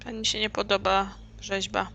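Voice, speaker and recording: A woman's voice: medium pitch, flat delivery, a little fast, gravelly, pushed and bright, muffled recording, slight background noise. A woman hosting a gameplay video, giving casual commentary and recapping the dialogue as she goes. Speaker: gaming let's play host.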